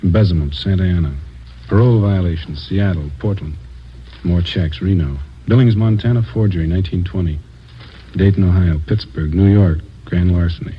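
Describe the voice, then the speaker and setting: A muffled, faintly noisy vintage radio recording of a low-pitched male police captain, played by an actor. He talks shop in a dry, laconic, businesslike way, in 1950s crime drama style. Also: moderate pace, natural voice. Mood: dry, interested. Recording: muffled, hissy old radio broadcast.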